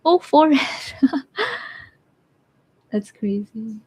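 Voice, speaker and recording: A young woman with a Bisaya Filipino accent who teaches watercolor live, talking casually and thinking aloud as she paints, with some quiet asides. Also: high voice, sing-song, very slow. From Philippines. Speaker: watercolor artist streaming live. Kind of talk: live painting tutorial with chat replies.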